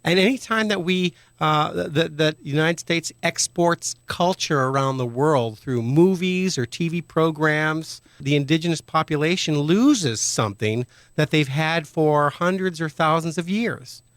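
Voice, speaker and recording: A man's voice: on the high side, a little slow, hardly any pauses, a bit bright, no echo. A man hosting a radio talk show, interviewing a guest in an earnest, opinionated way. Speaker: radio host.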